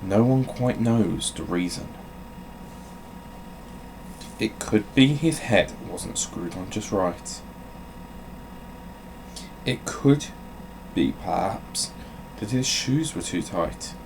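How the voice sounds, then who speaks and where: A man with a fairly low voice and very slow delivery. His pitch swings widely as he moves between theatrical reading and dry, self-mocking rambling. There is some faint noise behind him. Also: lively intonation, vocal fry. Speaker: host of a gaming channel. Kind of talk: theatrical storybook reading mixed with casual sweary rambling.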